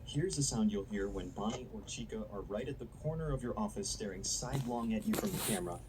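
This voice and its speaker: A man's voice: lowish voice, lively intonation, fast talker, noisy background. A man reading a scripted, analytical video essay narration.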